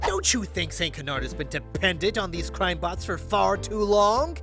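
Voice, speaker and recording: Pompous, wildly animated duck superhero. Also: male voice, sits high, moderate pace, soft background music, full character voice. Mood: indignant. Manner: theatrical, grandiose declamation. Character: pompous male duck masked superhero, past his prime. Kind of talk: amateur comic dub of a superhero cartoon.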